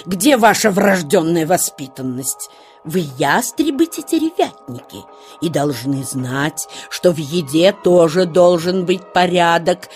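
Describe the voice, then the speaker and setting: A woman's voice: fairly low pitch, lively intonation, a little slow, a little mellow, faint music behind. A woman voicing a strict, orderly mother hawk who fusses over and lectures her chicks in a children's audio play. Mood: strict, proud. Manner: bossy, didactic, fussing tenderly over children.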